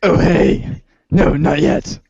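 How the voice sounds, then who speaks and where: A man voicing a brooding, gruff, melodramatic Batman-style boy hero in a gravelly voice for comic read-along theater. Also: a little high, slow pace, darkish tone, dry recording, heavily acted. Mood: gruff, casual. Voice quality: gravelly, husky. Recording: muffled, dull lo-fi audio.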